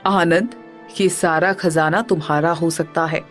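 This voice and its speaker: A woman's voice: fairly low pitch, steady tone, a little slow, soft background music, natural voice. A woman voicing a mysterious, enticing spirit that makes tempting promises in a moral story.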